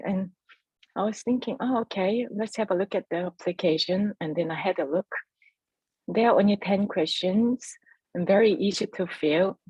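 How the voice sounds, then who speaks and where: A woman whose first language is Korean, speaking heartfelt and halting on a video call about her business. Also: mid-range voice, darkish tone, breathy edge, no echo, dull audio. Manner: heartfelt, halting, earnest encouragement. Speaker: entrepreneur sharing her story. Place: home in Adelaide.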